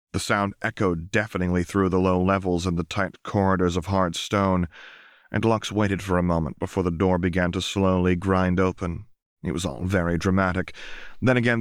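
A man's voice: sits low, clean tone, dry recording, clean studio sound. A man reading a fantasy story as an audiobook narrator, in scripted narration.

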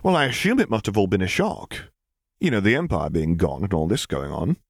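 A man's voice: low voice, very animated, a little fast, creaky, husky, no echo, studio quality, exaggerated voice. Man as chatty, sheepish young graduate student. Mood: tentative, hopeful. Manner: chatty, self-deprecating, rambling.

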